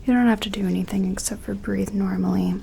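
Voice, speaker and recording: A woman doing a gentle ASMR roleplay as a careful scientist examining an alien, speaking softly and often slipping into a whisper, hesitant and reassuring. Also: mid-range voice, steady tone, quick pace, slight background noise. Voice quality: soft, breathy, often dropping to a whisper.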